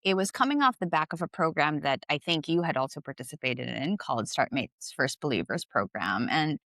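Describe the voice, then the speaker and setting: Woman, thirties, from the Philippines, low pitch, chatty with fillers, dry close sound. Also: animated, a little fast, a bit bright, dry recording. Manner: chatty, many fillers, laughs easily. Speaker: guest, investment director. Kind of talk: casual interview chat.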